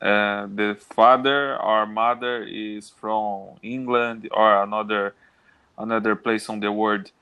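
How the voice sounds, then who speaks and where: A man from Brazil with a Brazilian Portuguese accent, practicing English on a video call, halting and restarting as he hunts for words. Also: fairly low pitch, steady tone, bright, forceful tone, clean tone, muffled recording. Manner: halting, many restarts and fillers while searching for English words. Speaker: English learner. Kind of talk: casual video-call conversation.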